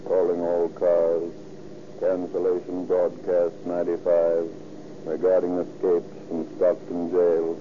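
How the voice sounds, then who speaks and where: An actor playing an official police radio dispatcher reading a bulletin in a flat monotone on a 1930s radio show. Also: male voice, sits low, takes it slowly. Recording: noisy, muffled old police radio broadcast.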